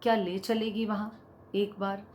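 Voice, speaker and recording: Female spoken-word poet, mid-range voice, unhurried and deliberate, repeating lines for weight. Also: mid-range voice, little pitch movement, a little mellow, a little hiss or hum. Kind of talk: slam poetry recitation.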